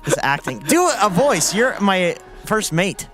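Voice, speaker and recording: Lively, joking male panelist. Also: high-pitched, unhurried, soft background music. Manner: playful, joking, swears. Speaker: esports analyst. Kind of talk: casual studio panel banter.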